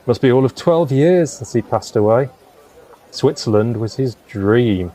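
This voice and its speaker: Expressive, dreamy poetic gentleman, played by a man. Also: mid-range voice, unhurried, lightly acted, rough recording. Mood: mournful. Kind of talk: period audio drama acting.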